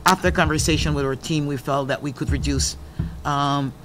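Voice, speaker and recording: A man's voice: fairly high pitch, steady tone, moderate pace, a bit bright, slight background noise. A man explaining budget decisions at a school board meeting, repeating himself and breaking off phrases. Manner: explanatory, repeats points, some broken phrasing. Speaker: school superintendent. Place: public meeting room.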